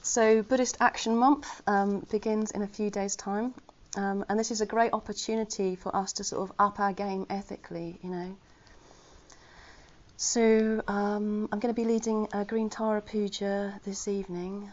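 A woman of middle pitch gives an informal talk to her Buddhist community. She is chatty and earnest, with flashes of self-deprecating humour. The recording sounds slightly muffled. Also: level tone, moderate pace, darkish tone. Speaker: Buddhist order member giving a talk. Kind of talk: informal Dharma talk to a gathering.

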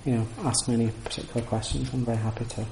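A man lecturing to a small group, talking his way through ideas with frequent restarts and fillers. His voice is mellow and dark. The room is reverberant, with a faint hiss underneath. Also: mid-range voice, level tone, rapid-fire, creaky. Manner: thoughtful, many restarts and hedging fillers. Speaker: lecturer on Sanskrit texts. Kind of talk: informal lecture with audience questions. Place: room with audience.

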